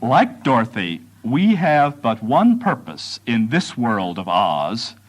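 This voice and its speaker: A man's voice: mid-range voice, rough recording. Man lecturing with widely swinging intonation. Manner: measured, deliberate phrasing with emphatic pauses. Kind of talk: prepared public lecture.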